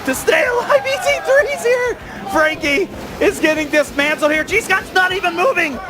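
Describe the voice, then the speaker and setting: High-pitched hyped male esports commentator. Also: animated, normal speed. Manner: exclamatory, hyped. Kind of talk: excited live play-by-play commentary. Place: live tournament venue. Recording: loud event hall, crowd and music. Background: cheering crowd and music.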